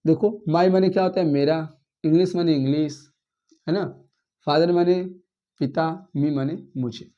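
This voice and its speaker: A man's voice: fairly high pitch, fairly even intonation, moderate pace, dull audio. A male English teacher giving a grammar lesson in speech, who keeps asking his listeners questions and then answering them himself. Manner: addresses listeners as friends, asks and answers his own questions; counts words aloud, repeats and rephrases points.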